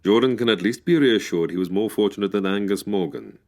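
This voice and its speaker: Man playing a deep, dry police captain. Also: fairly quick, a little mellow, lightly acted. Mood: dry, sardonic. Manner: clipped, formal, dryly sardonic. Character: dry, unflappable male police captain in Cairo. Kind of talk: old-time radio drama acting.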